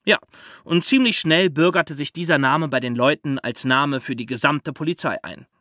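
A man's voice: on the high side, moderate pace, pushed and bright, no echo. A man hosts a podcast in a very dry studio sound. He explains in a conversational, storytelling way and talks straight to his young audience. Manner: conversational, addresses listeners directly. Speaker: podcast host.

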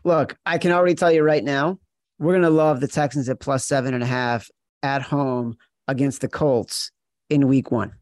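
A man's voice: medium pitch, fairly even intonation, moderate pace, a little mellow, no echo. A man co-hosting a sports betting show, talking in an emphatic, playful way straight to his partner. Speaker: podcast co-host.

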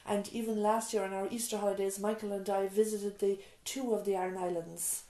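A woman's voice: medium pitch, level tone, brisk, reverberant. A middle-aged woman, a nurse and mother, telling her story in an interview with frequent restarts and asides. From Ireland. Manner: warm storytelling, frequent restarts and "you know". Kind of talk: reflective interview answers. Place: island nurse's surgery, small room.

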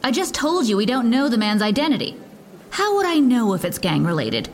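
An actress with an American accent playing a stern, impatient police lieutenant, speaking formally and with authority as in an audio drama press briefing. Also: female voice, on the high side, sing-song, brisk, a little hiss or hum. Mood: exasperated.

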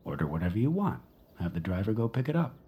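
A man's voice: lowish voice, very animated, quick pace, warm tone, slightly rough, heavily acted. A man playing a controlling, possessive wealthy boyfriend in an audio drama, commanding and probing, with a teasing edge. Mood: casual, commanding. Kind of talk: naturalistic audio drama acting.

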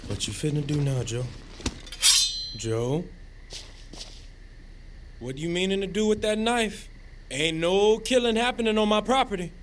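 A man's voice: sits high, lively intonation, unhurried, long pauses, heavy background noise. An actor playing a wary, uneasy younger Southern countryman with a folksy, hesitant way of talking in an audio drama. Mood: alarmed.

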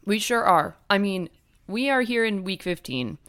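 A young woman co-hosting a podcast in a casual, chatty way, animated and swearing now and then. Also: mid-range voice, normal speed. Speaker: podcast co-host. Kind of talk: casual chat.

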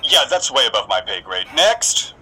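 Very animated ticket seller, noisy background. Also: male voice, mid-range voice, moderate pace. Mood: polite, baffled. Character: unfazed, dismissive box office ticket seller. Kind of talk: scripted audio comedy acting. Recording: noisy ambience behind the voice.

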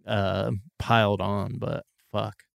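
A man's voice: on the low side, little pitch movement, slow pace, creaky, no room sound, studio quality. A man in his forties hosting a casual podcast chat, rambling and swearing freely with lots of "like"s. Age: 40s. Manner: loose, profane, rambling with many "like"s. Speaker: podcast host.

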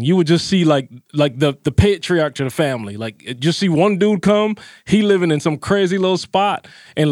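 A man's voice: on the high side, a little mellow, dry recording, clean studio sound. A man from Barbados co-hosting a podcast, building a story step by step in casual talk. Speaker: podcast co-host.

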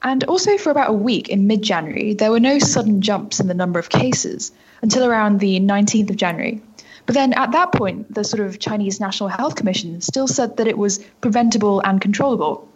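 Woman, twenties, British accent, husky voice, articulate news-reporter delivery, muffled lo-fi audio. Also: medium pitch, fairly quick, a little mellow. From United Kingdom. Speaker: news reporter, interview guest. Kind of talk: informative interview answers.

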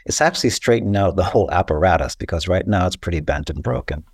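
A man with a very low voice talks as an interview guest in a dry, close-sounding recording. He explains step by step in a deliberate, didactic manner, often pausing to check agreement. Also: animated, gravelly, a little mellow, husky, no echo. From Costa Rica. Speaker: interview guest, social worker and writer.

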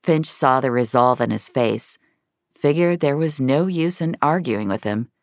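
A woman's voice: extremely low pitch, expressive, unhurried, no room sound, clean studio sound. A woman narrating scripted audio fiction in a dramatic storytelling style.

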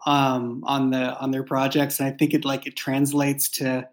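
A man who creates and writes audio drama, chatting casually behind the scenes in a halting, thoughtful way that often circles back. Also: mid-range voice, completely flat delivery.

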